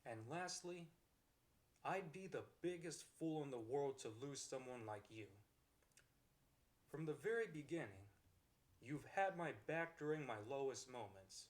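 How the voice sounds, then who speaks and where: A man's voice: a little high, animated, unhurried. A man voicing a kind-hearted, accepting boyfriend in a romantic ASMR roleplay, speaking in a tender, earnest and reassuring way. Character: kind-hearted, devoted, accepting boyfriend comforting his girlfriend.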